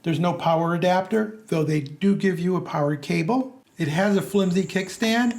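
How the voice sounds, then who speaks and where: A man's voice: sits high, moderate pace, darkish tone. A man presenting a product review as narration.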